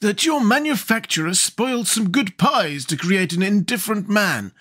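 A man's voice: high-pitched, lively intonation, moderate pace, a bit bright, husky, voice pushed up, exaggerated voice. A man voicing a fussy, pompous scarecrow king from a storybook, stately and wordy, easily bewildered. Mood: mild, amiable. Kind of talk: storybook character voice.

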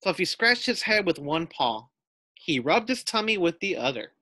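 A man's voice: high voice, big pitch swings, moderate pace, pushed and bright, a little hiss or hum. A man reading a children's storybook aloud as a teacher, expressively, with explanatory asides and questions to pupils.

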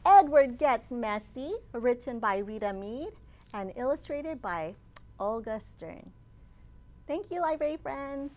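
A woman in her thirties, a children's librarian hosting storytime, reading aloud expressively and deliberately with dramatic emphasis for young children. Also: on the high side, lively intonation, darkish tone. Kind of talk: animated read-aloud of a picture book for young children. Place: open library children's area, indoors.

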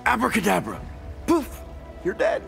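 An actor voicing a narcissistic, menacing caped superhero in a video game, with mocking, condescending sarcasm. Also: male voice, extremely high pitch, huge pitch swings, moderate pace, vocal fry, over music, heavily acted. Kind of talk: video game fight taunts.

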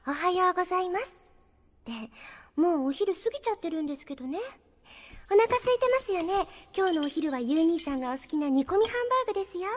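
Very high-pitched, lovesick yandere girl. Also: animated, fast. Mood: sweetly cheerful. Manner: polite, doting, insistent. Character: possessive, lovesick yandere spirit girl, sweetly polite. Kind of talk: anime audio drama acting.

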